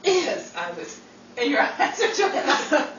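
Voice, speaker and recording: Giggly, animated woman in casual talk, high voice, a bit far from the mic. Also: unhurried, dull audio. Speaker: radio drama actor and co-host. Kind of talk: animated casual chat among castmates. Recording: echoey room, off-mic, background noise.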